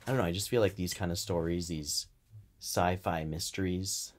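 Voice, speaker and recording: A man's voice: low-pitched, normal speed, a little hiss or hum. A man co-hosting a podcast in a casual, informal chat style, with a joking streak. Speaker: podcast co-host.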